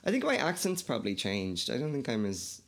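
Gravelly Irish man, chatty. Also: mid-range voice, huge pitch swings, a little fast. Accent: Irish, Kildare. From Ireland. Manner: chatty, laughs often, swears casually. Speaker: podcast host. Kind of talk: casual chat.

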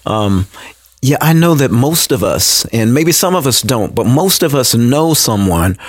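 A man's voice: mid-range voice, big pitch swings, a little slow, breathy edge, no room sound. A man hosting a conversational interview, asking questions and affirming his guest. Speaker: interviewer, host.

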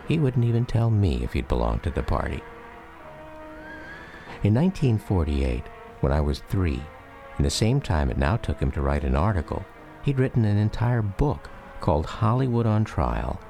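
A man narrating a radio drama as a grown son recalling his father, in measured, reflective first-person storytelling. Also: sits low, big pitch swings, gravelly, mellow, soft background music, full character voice. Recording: faint noise behind.

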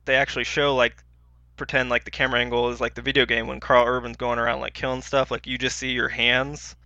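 A man's voice: mid-range voice, level tone, fast talker, sharp tone, dry recording, muffled recording. A man co-hosting a podcast in informal, slangy conversation. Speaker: podcast co-host. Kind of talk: casual chat.

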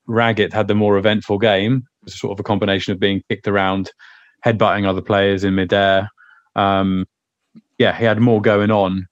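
A man co-hosting a casual football podcast with a hoarse, croaky voice, rambling and restarting his sentences. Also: lowish voice, no room sound, highs cut off. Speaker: podcast co-host.

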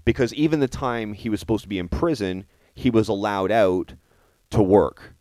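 A man's voice: fairly low pitch, normal speed, a little mellow, no room sound. A man hosting a podcast, giving indignant, emphatic commentary on current affairs and repeating phrases for stress. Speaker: podcast host.

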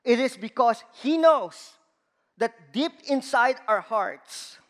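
Filipino-accented male preacher, high voice, deliberate pace, big reverberant church. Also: expressive. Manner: slow, emphatic delivery with rising stresses. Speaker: guest preacher. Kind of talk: sermon. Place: large church hall. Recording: long reverb of a church hall.

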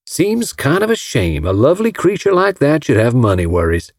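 A man voicing a folksy, lovestruck rich gentleman from Alabama with a drawling Southern American accent, in an audiobook. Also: medium pitch, wildly swooping pitch, normal speed, creaky, no room sound, studio quality, voice pushed up, full character voice. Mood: confiding.

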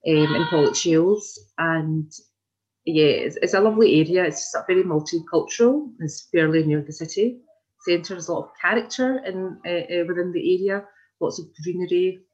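A woman's voice: on the low side, normal speed, darkish tone. A woman in her forties with a Glaswegian Scottish accent answers informally in an interview over a video call, in a hesitant, meandering way with frequent repetitions. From Glasgow, Scotland. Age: 40s. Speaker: interviewee, community development worker. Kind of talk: informal oral history interview. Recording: muffled lo-fi video call audio.

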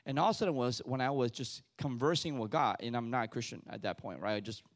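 A man's voice: medium pitch, fast. A middle-aged man from Taiwan, a church elder, sharing his testimony in a conversational sermon with many restarts and fillers.

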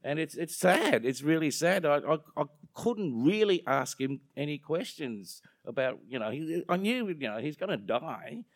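A man's voice: on the high side, big pitch swings, moderate pace, hardly any pauses, dry recording, studio quality. A young adult man with an Australian accent, being interviewed, talking casually and joking, drifting onto tangents. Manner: rambling, joking, self-deprecating, goes off on tangents.

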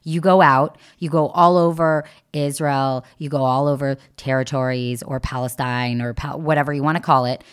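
A woman's voice: very deep voice, steady tone, moderate pace, hardly any pauses, clear, resonant tone. A woman hosting a podcast interview, teasing her guest with playful jokes in loose, chatty talk. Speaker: podcast host.

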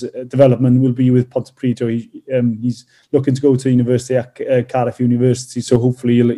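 Welsh male athlete, 30s, hesitant and halting, dark even delivery. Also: mid-range voice. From North Wales. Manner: hesitant, many fillers and restarts. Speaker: rugby player and teacher. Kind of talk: casual interview answers.

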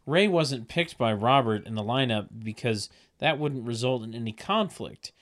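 A man's voice: mid-range voice, animated, normal speed. A man reading stage directions as scripted narration for a comedy table read, literal and tongue-in-cheek.

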